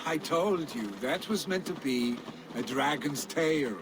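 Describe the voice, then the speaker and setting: An actor playing a carefree, boastful young Viking warrior, the son of a jarl, with a teasing, jovial manner. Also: male voice, on the high side, slow pace. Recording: game cutscene with ambient noise.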